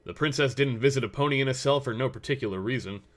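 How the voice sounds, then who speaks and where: A man's voice: medium pitch, quick pace. A man narrating a scripted story as its reader.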